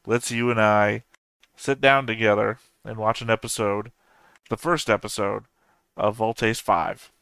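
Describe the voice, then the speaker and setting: A man's voice: fairly low pitch, flat delivery, a little slow, gravelly, fairly bright tone, clean tone, no echo. A man in his thirties hosting an anime reaction channel, talking off the cuff with dry humor and frequent self-corrections. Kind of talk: casual rambling commentary.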